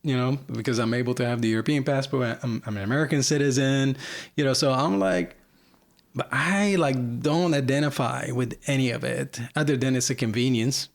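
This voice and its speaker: A man's voice: mid-range voice, moderate pace. A man from Lebanon, talking as a guest at home in a candid, rambling way, full of "like" and "you know.". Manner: candid, rambling, filler-heavy with frequent "like". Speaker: podcast guest. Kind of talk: casual conversation.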